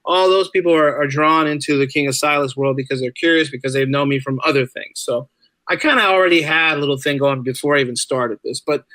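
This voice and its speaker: A man with a slightly high voice talks as a guest in a casual interview. He is talkative and informal, circling back to repeat phrases for emphasis. The recording is dry, with very little room sound. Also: fast, pushed and bright, no room sound. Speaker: podcast guest, writer and musician.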